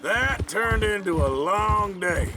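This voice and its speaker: An actor playing a weary, laconic American cowboy outlaw with a drawl in a western video game. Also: male voice, high-pitched, animated, slow pace. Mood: wistful. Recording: game audio with music behind. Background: music, horse hooves and animal sounds.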